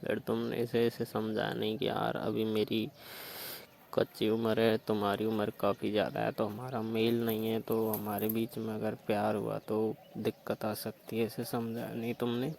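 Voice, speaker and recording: A man's voice: lowish voice, completely flat delivery, normal speed, vocal fry, a little mellow. A man playing a concerned, chiding confidant who questions a young bride in a staged phone-call drama, on a noisy recording. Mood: concerned, reproachful.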